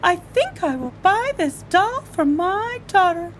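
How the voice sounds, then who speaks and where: A woman's voice: extremely high pitch, big pitch swings, slow, slight background noise, voice pushed up, heavily acted. A woman voicing a gushing lady shopper admiring a doll, in a storybook reading. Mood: delighted admiration.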